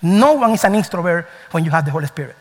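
A male pastor delivers a lively sermon, telling stories with emphatic rises in a fairly high voice. His English grammar slips here and there. The sound carries the long reverb of a church hall. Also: fast. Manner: animated, storytelling, emphatic. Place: church sanctuary.

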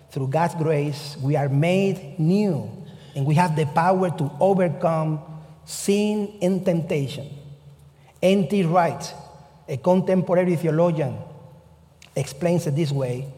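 Slow Cuban-accented pastor preaching, mellow voice. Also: male voice, fairly high pitch, in a large hall. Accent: Cuban Spanish. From Cuba. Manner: deliberate, emphatic preaching with slow drawn-out phrases. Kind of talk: sermon.

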